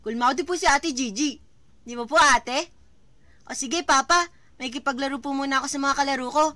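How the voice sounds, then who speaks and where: A woman's voice: high-pitched, a little fast, sharp tone, dry recording. A woman playing a cheerful, polite young child who chats respectfully with a father in a radio drama. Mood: cheerful, sweet. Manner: sweet, respectful. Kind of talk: amateur Filipino radio drama acting.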